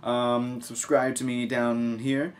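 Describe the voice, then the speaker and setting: A man's voice: medium pitch, level tone, slow. A young American man from Texas giving casual, sarcastic commentary as he reads out news as a video host. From Texas, United States. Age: young adult. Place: small room at a home desk, indoors.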